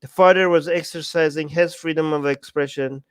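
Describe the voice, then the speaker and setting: Blunt man arguing, smooth clear voice. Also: fairly high pitch, fairly even intonation, moderate pace, dry recording. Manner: blunt, argumentative, quotes others in mocking voices. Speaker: co-host. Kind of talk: opinionated political talk.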